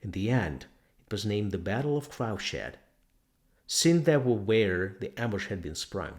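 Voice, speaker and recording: A man's voice: fairly low pitch, sing-song, unhurried. A man reading a novel aloud as scripted dramatic narration. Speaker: audiobook narrator.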